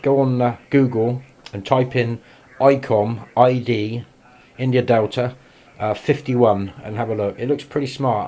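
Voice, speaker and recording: A man's voice: fairly low pitch, mellow. An English man chatting informally as an amateur radio operator filming a casual vlog. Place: small indoor radio shack at home. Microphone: handheld camera. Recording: faint noise, home radio shack camera.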